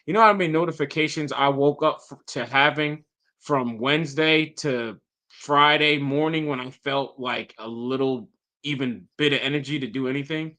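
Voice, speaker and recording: Podcast co-host, male, bright, slightly nasal from the flu, rambling casual delivery. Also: mid-range voice, normal speed. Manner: relaxed storytelling, lots of fillers. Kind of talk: casual chat.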